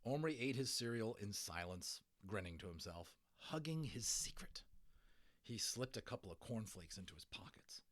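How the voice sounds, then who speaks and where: A man reading a children's novel aloud as a dramatic, expressive narrator. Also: lowish voice, normal speed. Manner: expressive, theatrical. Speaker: audiobook reader.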